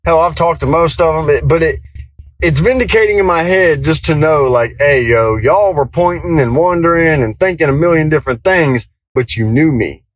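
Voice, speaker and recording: A man's voice: on the high side, normal speed, no echo, rough recording. Drawling man, defiant and rambling. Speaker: former worker recounting his exit. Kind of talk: candid monologue in a played clip.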